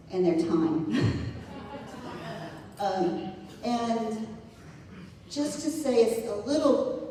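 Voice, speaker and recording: A woman's voice: medium pitch, warm tone. A woman presenting contributors to a live audience, speaking hesitantly with many fillers as she reads from notes. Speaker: event organizer presenting contributors. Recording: echoey hall, distant mic, faint noise.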